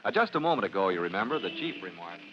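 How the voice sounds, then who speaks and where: A man's voice: low-pitched, very animated, racing. A man playing an earnest storytelling announcer, narrating a scripted 1950s radio drama. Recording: muffled, noisy old radio recording.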